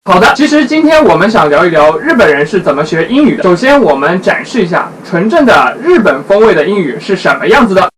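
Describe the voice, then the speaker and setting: A man in his twenties from China with a Chinese accent, hosting a casual talk to camera in careful, halting speech. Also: sits high, sing-song, fairly bright tone, slight background noise, poor audio. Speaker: host. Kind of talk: casual talk to camera about learning English.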